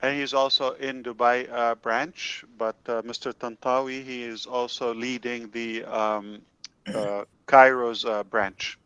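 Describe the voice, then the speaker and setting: A man's voice: mid-range voice, flat delivery, a little slow, a bit bright, smooth, highs cut off. A man hosting an online team meeting in an effusive, welcoming manner on a video call. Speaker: host.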